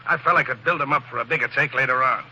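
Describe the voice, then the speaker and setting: A slick con man who poses as a count, drops into street slang when cornered. The tone is bright and cutting. It is delivered in vintage radio-drama fashion. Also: medium pitch, very fast, slightly rough, lo-fi sound. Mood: sly. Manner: tough gangster slang, nervous then pleading. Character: shifty male con man posing as a count. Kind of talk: 1940s radio acting.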